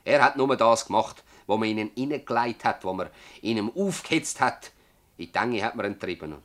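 A man's voice: lowish voice, animated, brisk, a bit bright. A man playing a troubled, remorseful, loyal villager in a Swiss German dialect radio play, speaking in an earnest, bitter way.

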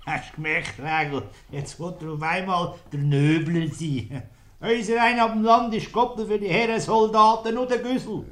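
A man's voice: high-pitched, fairly even intonation, moderate pace, a bit bright. A man playing an aggrieved, querulous countryside peasant who grumbles and complains in a 1950s radio comedy. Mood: suspicious, aggrieved.

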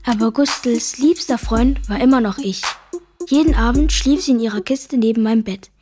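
Woman doing a sweet earnest little boy narrating a radio play, highish voice, loud music under it. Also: moderate pace, natural voice. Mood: fond narrating. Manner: childlike, earnest. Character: young boy Peti, affectionate, devoted to his pet goose. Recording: music behind the voice.

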